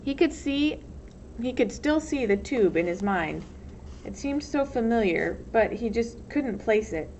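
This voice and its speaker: Higher-voiced woman reading a story aloud. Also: lively intonation, unhurried, a little mellow. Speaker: teacher reading a book aloud. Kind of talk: storybook read-aloud narration. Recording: dull lo-fi sound, faint noise.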